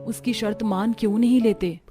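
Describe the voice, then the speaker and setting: A woman's voice: a little high, fairly even intonation, normal speed, dark tone, faint music behind, lightly acted. A woman voicing a polite, concerned young sister-in-law in a cartoon story. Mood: earnest.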